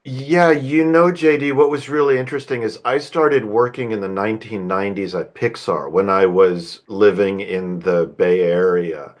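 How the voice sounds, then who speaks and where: A middle-aged man from the United States, a composer chatting as a guest in an interview, relaxed and talkative with fillers and restarts. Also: fairly low pitch, moderate pace, few pauses. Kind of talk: casual interview chat.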